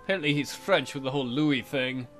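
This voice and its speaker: A man's voice: mid-range voice, fast talker, fairly bright tone, soft background music. A man hosting a let's play, reading visual novel text aloud and cracking irreverent, crude jokes. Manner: irreverent, crude joking, frequent mock voices. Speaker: let's play video host.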